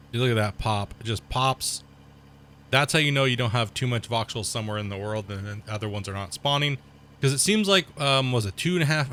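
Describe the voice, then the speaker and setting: Sick gamer guy, voice going, bright tone. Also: medium pitch. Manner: rambling, explains terms, excited outbursts at mishaps. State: sick, losing his voice. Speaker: gaming channel host. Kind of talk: casual gameplay commentary.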